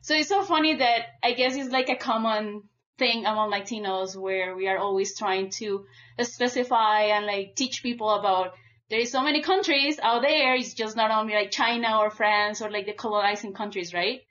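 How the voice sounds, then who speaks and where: A woman's voice: mid-range voice, normal speed, fairly bright tone. A woman from Colombia co-hosting a podcast, chatting casually with many likes and restarts. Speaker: podcast co-host. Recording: muffled lo-fi audio.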